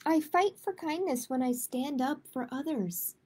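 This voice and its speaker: A woman hosting a live picture-book storytime for children, speaking to viewers in simple, deliberate phrases. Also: high voice, dark tone.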